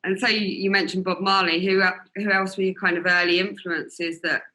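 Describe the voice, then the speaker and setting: Man, radio host, bright forceful tone, chatty, encouraging. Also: sits high, level tone, fairly quick, rough recording. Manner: chatty, affirming, many yeahs. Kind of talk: interview hosting.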